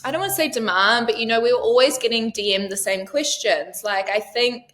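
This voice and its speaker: A woman's voice: a little high, brisk, fairly bright tone. A woman in her thirties from New Zealand, chatting casually as an interview guest about her yacht crew work, with lots of "um" and "like". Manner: chatty, frequent "um" and "like".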